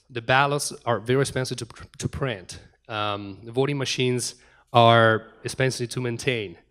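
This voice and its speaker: A middle-aged man speaks to a conference audience in a room. He races through his points, often restarting and repeating words as he goes. His pitch sits at an ordinary middle level for a man. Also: fairly bright tone. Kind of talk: conference talk.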